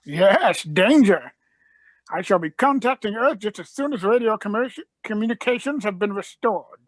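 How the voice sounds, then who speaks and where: A woman playing an eccentric, commanding, quick-witted Doctor in a scripted radio play, emphatic and theatrical. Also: medium pitch, lively intonation, dry recording, lightly acted. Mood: urgent, insistent.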